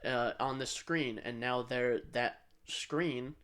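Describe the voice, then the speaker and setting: A man's voice: medium pitch, slow, a bit bright, smooth. A man co-hosting a podcast in a casual, rambling, blunt way with some swearing. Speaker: podcast co-host. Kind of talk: casual tech chat.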